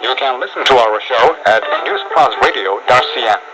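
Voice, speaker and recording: A man with a medium-pitched voice hosts a radio programme, reading a scripted introduction. Loud music plays behind him. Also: animated, normal speed, rough recording. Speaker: radio show host. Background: loud music bed.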